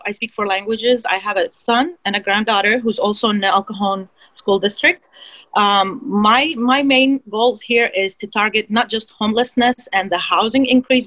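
A middle-aged woman from Iraq gives her opening remarks at a candidate forum. She talks in a halting way, full of fillers and restarts, with a voice of middling pitch. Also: fairly bright tone, rough recording.

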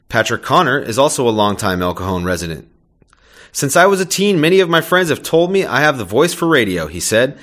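A man's voice: mid-range voice, fairly bright tone. A man reading a scripted local news item on the radio in an upbeat, announcing manner. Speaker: news reporter.

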